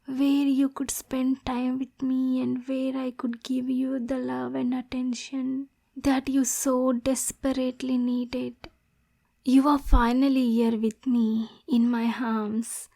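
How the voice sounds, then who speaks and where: A woman's voice: high-pitched, little pitch movement, slow, dark tone, heavily acted. A woman playing an obsessive, lovesick demon girl in an ASMR roleplay, clingy and possessive, addressing the listener directly. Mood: tender, sorrowful. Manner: breathy, clingy, swinging between tender and domineering.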